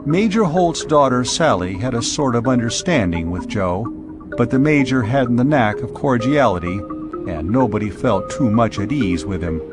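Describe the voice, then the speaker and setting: A man's voice: mid-range voice, animated, moderate pace, music in the background. A man reading a science fiction story aloud as a scripted audiobook narration.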